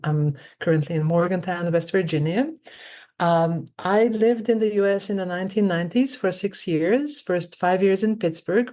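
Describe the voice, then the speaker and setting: A woman, a linguistics professor, speaking as a guest in a thoughtful, halting way with many fillers. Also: lowish voice, dark tone. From Hungary. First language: Hungarian. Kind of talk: casual interview talk.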